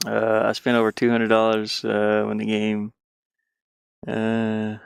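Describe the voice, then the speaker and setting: Low-voiced man rambling on a casual stream. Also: monotone, creaky, smooth, no room sound, clean studio sound. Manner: rambling, thinking aloud, halting. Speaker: game streamer and indie game developer. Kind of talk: casual livestream chat.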